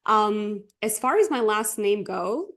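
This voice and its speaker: A woman's voice: mid-range voice, expressive, takes it slowly, fairly bright tone. A woman from Croatia, speaking English, chatting as a guest coach on a podcast with lots of fillers and frequent laughter. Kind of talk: casual interview chat.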